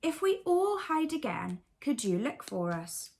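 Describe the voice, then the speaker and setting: A woman's voice: high voice, sing-song, a little slow, creaky, a little mellow, pitched above the performer's own voice, full character voice. A woman voicing a small, eager male toy bear cub with a childlike, curious manner in a bedtime storybook. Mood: thoughtful.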